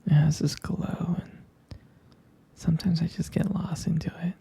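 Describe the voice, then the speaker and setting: A person whispering intimately and close to the microphone in a romantic ASMR roleplay as a tender, adoring vampire lover, with hesitant pauses. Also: medium pitch, steady tone, warm tone. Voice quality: breathy, husky whisper. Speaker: ASMR performer playing a loving partner.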